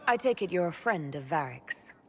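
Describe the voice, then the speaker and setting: An actress playing a pragmatic female elf leader in a video game, measured, firm and matter-of-fact. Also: fairly low pitch, animated, a little mellow, a little hiss or hum, close to an everyday voice. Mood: composed. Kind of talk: video game cutscene acting.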